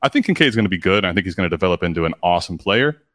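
A deep, low-voiced male guest with a classic radio sound. He talks quickly and confidently in relaxed chat. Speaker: podcast guest. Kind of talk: casual podcast chat.

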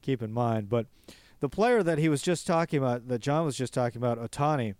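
A man hosts a baseball show, his voice smooth and resonant in a dry, close-miked sound. He talks with casual enthusiasm, running through numbers and stories at a lively clip. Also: mid-range voice, brisk, dry recording. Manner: enthusiastic, reels off stats. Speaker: baseball podcast host. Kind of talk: casual sports talk monologue.

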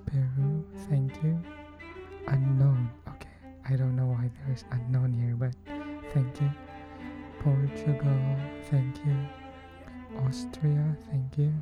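A woman hosting a podcast, thanking her listeners in a soft, often near-whispered, unhurried voice. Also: extremely low pitch, fairly even intonation, dull audio. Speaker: podcast host. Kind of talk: thank-you message to listeners. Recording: cello music playing under the voice.

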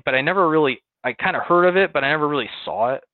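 A man's voice: a little high, very fast, dry recording. Guest farmer, man, Western New York accent, easygoing storyteller full of "um"s, tinny phone-like line, dry sound. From Western New York, near Buffalo. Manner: relaxed storytelling with many "um"s and restarts. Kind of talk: conversational interview.

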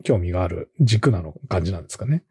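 A man's voice: deep voice, lively intonation, quick pace, creaky, warm tone, husky. A man hosting a casual interview podcast, with loosely phrased questions and frequent listening murmurs. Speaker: podcast host, company co-founder.

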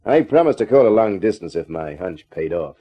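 An actor playing a flirtatious, hard-boiled private detective in a 1950s radio drama, with a slangy, wry, breezy delivery. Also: male voice, lowish voice, sing-song, fairly quick, breathy edge, highs cut off. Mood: wry narrating. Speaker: actor in the lead part of a detective radio serial. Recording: 1950s radio drama recording, music behind.

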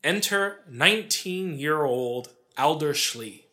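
A man's voice: on the high side, animated, slow pace, pushed and bright. A man hosting a podcast, telling sports history in a casual, conversational way with wry asides. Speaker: podcast host. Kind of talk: casual storytelling about sports history, part scripted.

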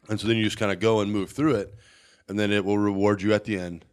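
A man telling a rambling, animated story in casual chat, with a somewhat nasal voice. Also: fairly low pitch, quick pace, clear, resonant tone.

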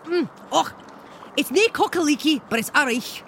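A high, bright and boisterous ancient Scottish she-monster of the loch, brash and insulting in thick Scots slang. She swings widely in pitch in comic audio-drama fashion, with water sounds behind her. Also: expressive, moderate pace, a little hiss or hum. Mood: hearty, chatty. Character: ancient, boisterous, foul-mouthed, hard-drinking female Loch Ness monster. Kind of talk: audio fiction comedy acting. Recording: water and boat ambience behind.

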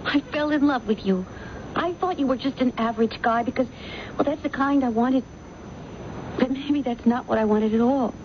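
A woman's voice: sits high, moderate pace, natural voice. An actress playing an emotional, lovestruck and possessive young woman in a radio mystery drama. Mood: hurt, demanding. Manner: intense, emotional, pleading. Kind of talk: melodramatic radio mystery acting. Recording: muffled, noisy vintage radio broadcast recording.

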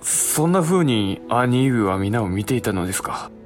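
Younger samurai brother male actor: earnest, deferential, lively intonation, medium register, historical audio drama. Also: mid-range voice, measured pace, faint music behind, natural voice. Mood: taken aback, sincere. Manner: polite, expressive, sincere.

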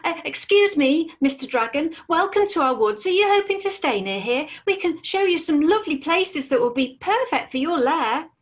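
A woman's voice: sits high, lively intonation, normal speed, few pauses, voice pushed up. A woman voicing a nervous small male squirrel who stammers and hurries.

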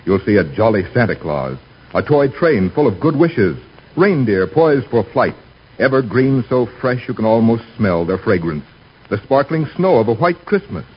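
A man's voice: mid-range voice, very animated, normal speed, slightly rough. A man working as a radio announcer, reading scripted advertising with a polished, persuasive 1940s sales delivery. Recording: dull 1940s radio recording.

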